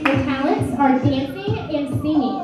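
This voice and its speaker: High-voiced woman announcing in an echoey ballroom. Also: moderate pace. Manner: reads contestant bios in a list-like cadence. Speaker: pageant emcee. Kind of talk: announcing contestants. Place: large hotel ballroom. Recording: echoey ballroom, distant mic, loud music.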